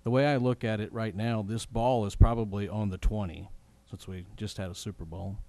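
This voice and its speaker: Mellow, lowish-voiced man chairing a meeting. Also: fairly even intonation, brisk, no room sound. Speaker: presiding chair of a city council meeting. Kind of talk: chairing a formal public meeting.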